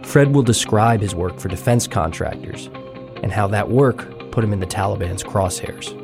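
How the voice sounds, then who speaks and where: A man hosting a podcast, reading scripted narration in a measured documentary way. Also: fairly low pitch, vocal fry, music in the background. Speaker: podcast host.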